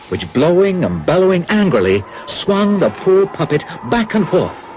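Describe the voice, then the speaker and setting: A man narrating a classic cartoon fairy tale in an expressive, scripted storybook style. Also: medium pitch, rough recording.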